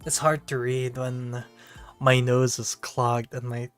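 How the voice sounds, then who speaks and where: A man's voice: mid-range voice, flat delivery, slow pace, faint music behind. A man with a stuffy, congested nose reading a visual novel aloud on a stream and chatting casually between lines. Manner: casual, sighing asides. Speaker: VTuber streamer.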